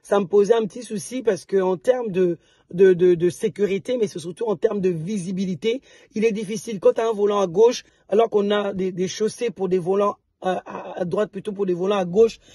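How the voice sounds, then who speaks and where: A woman from DR Congo, an entrepreneur chatting casually to her viewers in a vlog. She is slightly hoarse and often restarts and repeats words. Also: mid-range voice, fairly even intonation, a little fast, warm tone, no echo, clean studio sound. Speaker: entrepreneur and vlogger.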